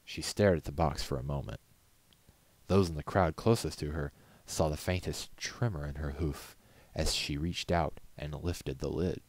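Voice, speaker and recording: A man's voice: extremely low pitch, normal speed, no room sound. A man reading a story aloud as scripted storybook narration. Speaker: amateur story reader.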